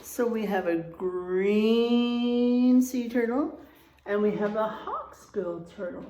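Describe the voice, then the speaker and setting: A woman teacher reading a children's storybook aloud in an expressive way, adding explanations and checking in with her young listeners. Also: fairly high pitch, takes it slowly, slight background noise. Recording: roomy, echoing room sound.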